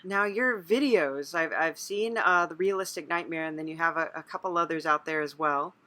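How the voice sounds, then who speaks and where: A woman's voice, a little low in pitch but bright and forward. She interviews in a relaxed conversational style, affirming and encouraging as she goes. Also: moderate pace. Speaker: interviewer, host.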